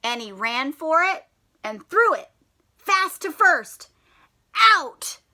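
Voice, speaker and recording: A woman teacher reading a story aloud to children in an animated, encouraging way, often asking them questions. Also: sits high, takes it slowly.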